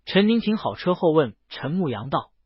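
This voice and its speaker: A man's voice: fairly high pitch, very animated, moderate pace, breathy edge, no room sound, muffled recording. A man reads a scripted story as an audiobook narrator, voicing the dialogue himself.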